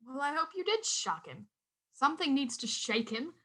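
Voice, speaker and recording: An actress playing a playful, teasing wife with little patience in a radio play. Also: female voice, sits high, sing-song, normal speed, pushed and bright, full character voice. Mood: disapproving.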